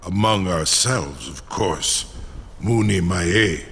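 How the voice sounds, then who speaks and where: Deep, gravelly, slow ancient dragon. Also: male voice, fairly bright tone, full character voice. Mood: measured, lofty. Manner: slow, grandiose, deliberate speech peppered with an invented tongue. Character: huge ancient proud dragon, male, cunning and formal. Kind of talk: video game character dialogue. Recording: long cavernous reverb, faint noise.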